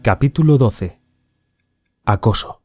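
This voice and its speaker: A man's voice: medium pitch, animated, slow. A man giving scripted narration as the narrator of a story show. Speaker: narrator of the show's intro. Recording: loud music behind. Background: music.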